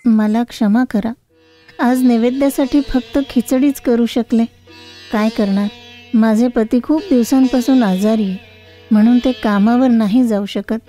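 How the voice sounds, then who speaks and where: Woman voicing a humble, mellow-voiced poor mother. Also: fairly high pitch, fairly even intonation, measured pace, faint music behind, close to an everyday voice. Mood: apologetic, sad. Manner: earnest, courteous.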